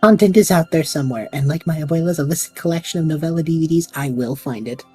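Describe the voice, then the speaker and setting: A woman's voice: low voice, fast, a little mellow, husky, soft background music. A woman voicing a restless young male time traveler who whines and rambles dramatically, in an audio drama. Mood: rambling.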